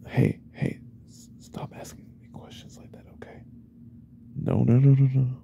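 Man acting dark, breathy possessive boyfriend. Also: mid-range voice, fairly even intonation. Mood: quiet, menacing, then agitated, controlling. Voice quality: soft, breathy, often whispered. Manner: intense, controlling, halting. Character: jealous, possessive, unhinged yandere boyfriend. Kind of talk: ASMR roleplay.

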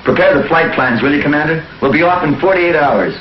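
An actor playing an earnest, commanding space hero with an authoritative manner in a 1950s television serial. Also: male voice, fairly high pitch, a little fast, noisy background, natural voice. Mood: earnest, urgent. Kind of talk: 1950s television science fiction acting. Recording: echoey, muffled old TV soundtrack, faint music.

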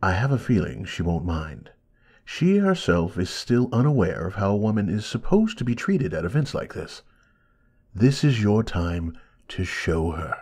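A man's voice: lowish voice, sing-song, moderate pace, darkish tone, slightly rough, heavily acted. A man playing a reassuring enchanted castle servant in an audio drama.

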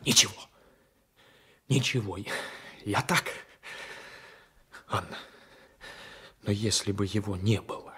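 An actor playing a brooding, passionate gendarme and former lover with a menacing streak, in a radio drama. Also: male voice, fairly low pitch, slow, pauses often, sharp tone, breathy edge, heavily acted. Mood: insistent. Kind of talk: Soviet 1980s radio drama acting.

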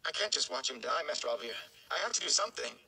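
Man playing an anxious young villager, raised pitch. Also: expressive, fast talker, vocal fry, pushed and bright, full character voice, rough recording. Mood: desperate. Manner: eager, pleading.

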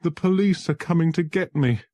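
A man's voice: low-pitched, sing-song, takes it slowly, a little mellow, no room sound, heavily acted. A man voicing a frightened young male lawyer who pleads with desperate urgency.

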